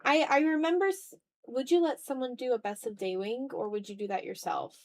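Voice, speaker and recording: A woman's voice: high voice, fairly quick. A woman chatting casually on an art livestream, thinking aloud in a hesitant, self-deprecating way. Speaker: streamer and content creator. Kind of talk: casual livestream chat while drawing.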